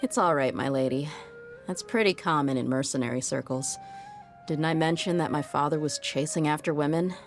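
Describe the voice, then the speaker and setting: A low-pitched, world-weary young woman mercenary. She speaks frankly, with dry wit, as if in video game story dialogue. Faint music plays behind her. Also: moderate pace. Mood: wry, reflective. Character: tough, jaded young woman desert mercenary.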